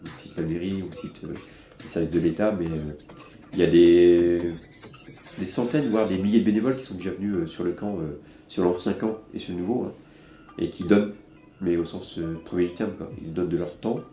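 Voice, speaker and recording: A man's voice: sits low, fairly quick, mellow, echoey room, faint music behind. A man giving political commentary in an interview, hesitant and full of fillers.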